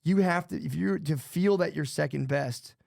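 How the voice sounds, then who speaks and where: A man's voice: fairly high pitch, moderate pace, creaky, a little mellow, dry recording. A man co-hosting a podcast, rambling loosely and swearing, saying "dude" a lot, on a close studio mic. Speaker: podcast co-host. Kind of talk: casual podcast chat.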